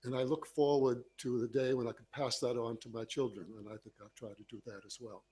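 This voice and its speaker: A man's voice: medium pitch, little pitch movement, brisk, dark tone, a little hiss or hum. Sincere man speaking on a panel. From United States. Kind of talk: panel discussion remarks.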